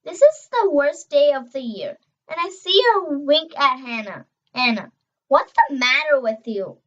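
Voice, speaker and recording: A young girl reading a children's novel aloud, expressive and emphatic on dialogue, stumbling over words now and then. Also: high voice, measured pace, muffled recording. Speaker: reader.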